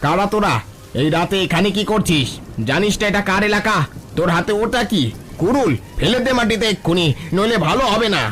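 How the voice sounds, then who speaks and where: A man's voice: high voice, brisk, fairly bright tone, a little hiss or hum. A man playing a suspicious, threatening forest bandit who barks orders in a cartoon. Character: menacing forest bandit, suspicious underling of the chief. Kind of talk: cartoon voice acting.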